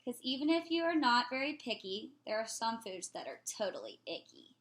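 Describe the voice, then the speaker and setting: Higher-voiced woman reading a children's storybook. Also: sing-song, normal speed, fairly bright tone. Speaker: picture book reader. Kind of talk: expressive children's storybook read-aloud.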